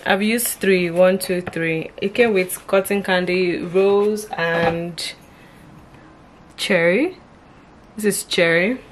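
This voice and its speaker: A woman's voice: lowish voice, takes it slowly, slight background noise. A woman in her twenties chatting casually to camera in a vlog, talking to her viewers as "guys" while showing things around her small bedroom. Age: 20s. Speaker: vlogger. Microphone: camera mic at arm's length and across the room.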